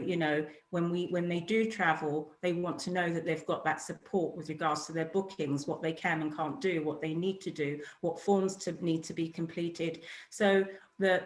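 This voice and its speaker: A woman, a corporate travel buyer, speaking on a webinar panel in a businesslike way, often listing points and restarting phrases. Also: lowish voice. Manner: businesslike, piling up lists and restarts. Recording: echoey room.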